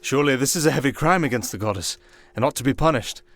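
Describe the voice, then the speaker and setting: A man voicing a kindly, wry old high priest in a fantasy audio roleplay, with fatherly, ceremonious, unhurried phrasing. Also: a bit bright, dry recording, natural voice. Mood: solemn, wry. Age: older.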